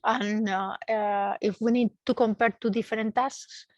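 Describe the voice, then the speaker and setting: A woman's voice: mid-range voice, fairly even intonation, measured pace, no echo, highs cut off. A woman research engineer giving a technical explanation in a seminar, searching for words and often repeating them as she goes.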